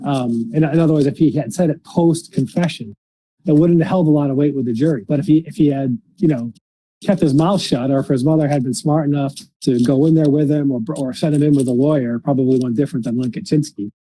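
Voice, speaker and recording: Halting male podcast host with dark, mellow voice. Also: mid-range voice, low-quality recording. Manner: halting, repeats and restarts phrases often. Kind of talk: unscripted case discussion on a podcast.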